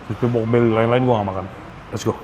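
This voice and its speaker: A man's voice: mid-range voice, fairly even intonation, fast, a little mellow. A man with a Jakarta Indonesian accent gives a casual, enthusiastic food review, speaking slangily and mixing in English phrases. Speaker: food vlogger. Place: restaurant. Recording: clear background noise, restaurant ambience.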